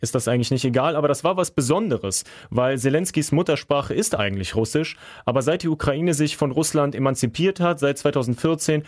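A man co-hosting a podcast, explaining calmly in a plain, casual way. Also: fairly high pitch, a little fast, hardly any pauses, no echo, clean studio sound. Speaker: podcast co-host.

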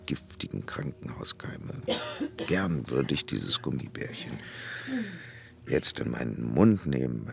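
A man's voice: rumbling low, creaky, heavily acted. An actor playing a dreamy, hungry man savouring his thoughts in a radio play inner monologue.

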